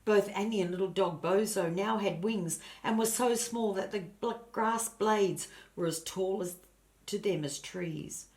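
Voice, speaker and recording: A woman's voice: mid-range voice, a little slow, a little mellow. An older woman narrating a children's storybook expressively, in a grandmotherly way and addressing her listeners. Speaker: storybook reader.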